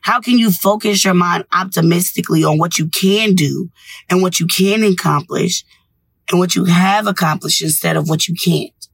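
A woman's voice: lowish voice, normal speed, vocal fry, slightly rough. A woman hosting a radio show, delivering a scripted motivational monologue in an encouraging, instructive manner.

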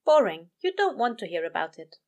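A woman voicing a gentle, earnest male angel bookseller in an audio story, soothing, polite and a little prim. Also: medium pitch, big pitch swings, a little fast.

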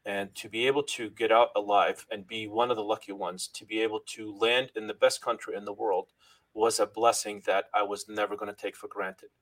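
A man's voice: lowish voice, little pitch movement, fairly quick, fairly bright tone, rough recording. A middle-aged man originally from Lebanon, a guest in an interview, telling his life story earnestly and thanking God often, with frequent restarts.

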